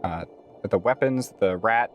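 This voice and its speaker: A man's voice: on the low side, unhurried, slight background noise. A man playing a tabletop role-playing game, casually describing what his character does.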